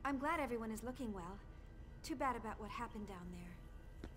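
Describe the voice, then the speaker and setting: Higher-pitched polite, wistful young woman scientist. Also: animated, moderate pace, fairly bright tone, a little hiss or hum. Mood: friendly. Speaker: game voice actor. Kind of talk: English game cutscene acting.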